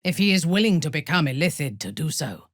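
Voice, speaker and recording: Menacing drow warrior lady for a video game, husky breathy voice, commanding, swings around in pitch, close dry sound. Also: big pitch swings, dry recording. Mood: measured. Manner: cold, haughty, deliberate, commanding. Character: menacing, imperious female drow paladin warrior, devout and ruthless. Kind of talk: video game character acting.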